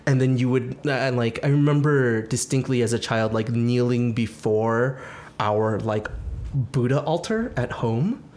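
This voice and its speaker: A man's voice: mid-range voice, measured pace, darkish tone. A man born in Taiwan, a podcast guest talking casually with plenty of fillers and restarts. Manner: chatty, lots of fillers and restarts. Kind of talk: casual chat.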